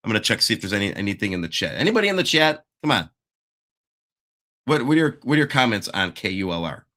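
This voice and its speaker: Bright-voiced man hosting a stock stream. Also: fairly low pitch, very animated, fast talker. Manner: chatty, self-interrupting, checks the live chat. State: losing his voice. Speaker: stock market show host. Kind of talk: casual stock analysis livestream.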